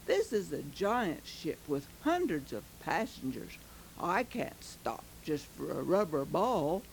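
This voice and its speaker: A woman playing calm kind sea captain, soft and low, slow delivery, faint hiss. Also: heavily acted, low-quality recording. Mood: gentle. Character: kindly ship captain, calm older man.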